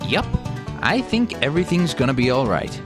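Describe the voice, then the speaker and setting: A man's voice: medium pitch, very animated, moderate pace, music in the background, close to an everyday voice. A man playing a bossy, urgent young crew leader in a comedy radio play. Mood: relieved, brisk. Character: take-charge young man leading a bumbling crew.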